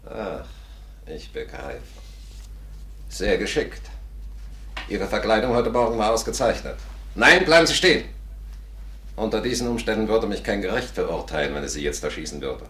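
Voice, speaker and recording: A deep-voiced, coldly polite male villain in an old radio thriller. His calculated menace rings in an echoey room, with a stagey fifties delivery. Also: very animated, normal speed, long pauses, bright, forceful tone. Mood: mock-polite, threatening. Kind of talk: 1950s German radio drama acting.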